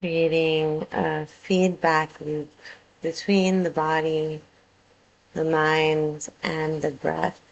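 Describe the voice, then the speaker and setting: Woman, yoga nidra teacher, gravelly fry, very unhurried delivery, calm measured phrasing, soothing guided relaxation. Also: sits low, muffled recording. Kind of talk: guided meditation, soothing instruction.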